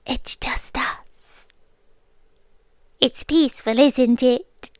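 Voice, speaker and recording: A British woman playing a possessive, calmly menacing yandere girlfriend in an intimate ASMR roleplay, breathy and often dropping to a whisper. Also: high-pitched, dry recording. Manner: slow, deliberate, breathy, drifting into whispers.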